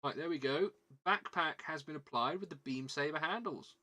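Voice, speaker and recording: A man's voice: on the high side, moderate pace, bright, forceful tone. A man with a southern British English accent chatting casually as a livestream host, rambling and self-deprecating, often repeating his words. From southern England. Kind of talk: casual livestream chat while building a model kit.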